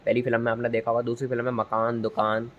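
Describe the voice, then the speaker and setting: A man's voice: mid-range voice, completely flat delivery, quick pace, dull audio. A young man gives a casual, rambling and jokey spoken recap of a film, acting out bits as he tells it. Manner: jokey, rambling storyteller, acts out dialogue. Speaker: movie reviewer. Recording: noisy ambient location recording.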